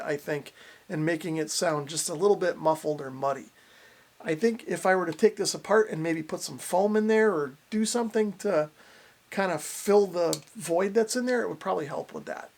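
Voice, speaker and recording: A man's voice: high voice, gravelly. A man giving a casual, plain-spoken product review and demonstration, explaining things as he goes. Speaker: radio reviewer and host.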